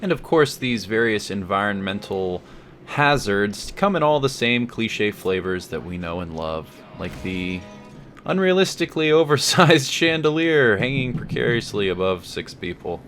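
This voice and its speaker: Low-voiced man reviewing a game. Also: animated, unhurried, a bit bright, soft background music. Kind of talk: semi-scripted review commentary over gameplay.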